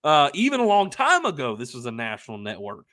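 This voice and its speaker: A young man co-hosting a podcast, telling a casual anecdote in a rambling, emphatic, excitable way. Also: mid-range voice, fairly quick, no room sound, studio quality. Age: young adult. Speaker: podcast co-host telling a story.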